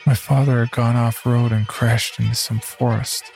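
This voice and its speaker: A man plays a broken, haunted young man telling his horror story as a last warning. His voice is even and gravelly, somber and full of dread. Clear music plays underneath. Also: medium pitch, moderate pace, lightly acted. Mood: bleak, resigned. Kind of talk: first-person horror story narration.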